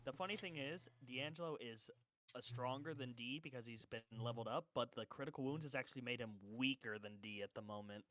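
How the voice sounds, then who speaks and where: A man's voice: mid-range voice, fairly quick, a bit bright, dry recording. A man casually improvising narration as a player in a tabletop roleplaying game.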